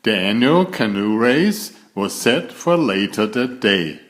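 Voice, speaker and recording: A man reading a children's picture book aloud in a deliberate, expressive storytelling way. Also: fairly low pitch.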